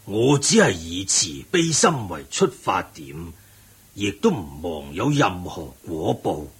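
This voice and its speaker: A man playing a wise, benevolent Buddhist lay sage in a Cantonese radio drama, speaking in a measured, didactic way. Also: sits low, sing-song, husky, exaggerated voice. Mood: kindly, solemn.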